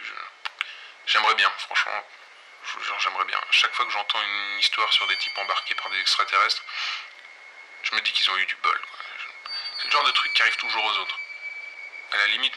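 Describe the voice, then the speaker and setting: A man playing an irreverent, weary pirate radio host in an audio fiction, talking in an offhand, sardonic, halting and slangy late-night monologue. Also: on the low side, background noise, lightly acted.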